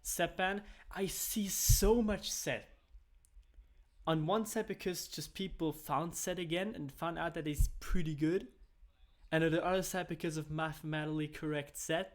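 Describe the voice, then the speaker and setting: A man's voice: a little high, steady tone, moderate pace. German-native young man casting games casually. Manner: rambling, heavy use of "like" and restarts. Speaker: amateur esports caster. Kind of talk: casual solo esports commentary.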